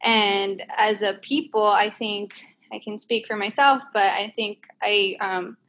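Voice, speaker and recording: Young woman guest from Puerto Rico, earnest and halting, faint background noise. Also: medium pitch, fairly even intonation, a bit bright. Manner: earnest, hesitant with "um" pauses. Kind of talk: casual conversational discussion.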